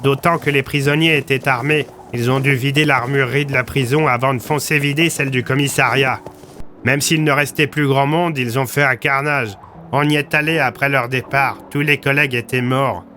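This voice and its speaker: A man's voice: medium pitch, fairly even intonation, normal speed, sharp tone, slight background noise, pitched above the performer's own voice, close to an everyday voice. A man playing a blunt, slangy small-town police commissioner recounting his survival in an audio story. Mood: grim recounting.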